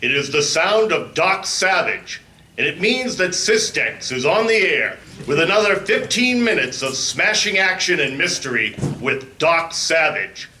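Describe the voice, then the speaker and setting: A man's voice: a little high, big pitch swings, a little slow, sharp tone, full character voice. A man announcing and narrating in old-time radio serial style, melodramatic and declamatory. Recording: echoey live convention stage.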